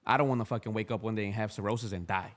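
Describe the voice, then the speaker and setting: A man's voice: lowish voice, fairly even intonation, racing. A man in his thirties, a podcast guest, talking candidly in a casual chat, rambling with many restarts and slang. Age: 30s. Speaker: podcast guest, street activist.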